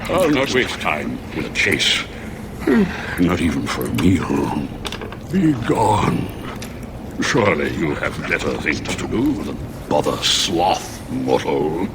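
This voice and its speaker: Lazy demon beast, very low, extremely slow, wildly swooping, condescending, game audio, noisy. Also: male voice, very animated, heavily acted. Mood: bored, dismissive. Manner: languid, drawling, condescending. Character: huge lazy demon beast, sleepy and menacing. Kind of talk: video game voice acting.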